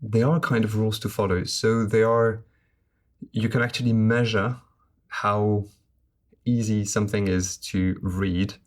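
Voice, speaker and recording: Low-voiced man. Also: little pitch movement. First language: French. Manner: measured, explanatory. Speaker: guest translator. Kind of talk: conversational podcast interview.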